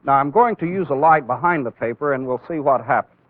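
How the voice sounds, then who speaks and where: A man's voice: mid-range voice, animated, no room sound. Tinny, band-limited male training-film instructor. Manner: deliberate, step-by-step explaining with emphasis on key words. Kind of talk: instructional demonstration lecture. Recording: tinny phone-like old film soundtrack.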